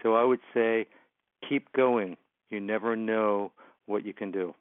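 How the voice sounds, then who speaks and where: A man's voice: on the low side, fairly even intonation, measured pace. A man with a stutter, a motivational speaker answering interview questions, often repeating words and sounds. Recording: phone line, dry.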